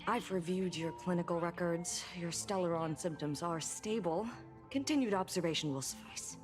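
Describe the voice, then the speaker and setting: A woman's voice: on the low side, moderate pace, over music, lightly acted. A woman voicing a witty, teasing female doctor in a video game, playful, knowing and theatrical. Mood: playful welcome.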